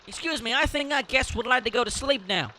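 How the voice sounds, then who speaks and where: An actor playing a stern, hard-working sibling in charge of a farmhouse in an amateur audio drama. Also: male voice, extremely high pitch, fairly quick, pushed and bright, a little hiss or hum, heavily acted. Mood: hospitable, weary.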